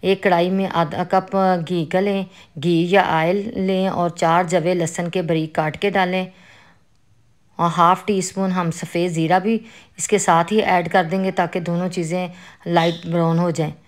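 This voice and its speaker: Cooking host, woman, Pakistani Urdu accent, lowish voice, calm instructive delivery. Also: a little slow. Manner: calm, instructive, with religious greetings. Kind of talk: step-by-step recipe narration. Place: home kitchen.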